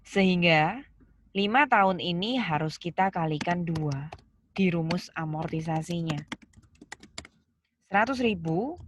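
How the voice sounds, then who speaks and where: Low-pitched woman teaching, noisy recording. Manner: didactic, asks questions and answers them, stresses key terms. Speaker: accounting lecturer. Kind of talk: step-by-step lesson explanation. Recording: clear background noise, keyboard clicks.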